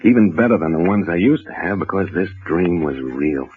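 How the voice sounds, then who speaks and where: An actor playing an anxious, guilt-haunted ex-convict in a radio suspense drama, halting and brooding as he tells his story. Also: expressive, background noise. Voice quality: low-pitched, hesitant voice. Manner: nervous, halting, stammering, brooding. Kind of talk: old-time radio suspense acting. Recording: muffled vintage radio recording with music.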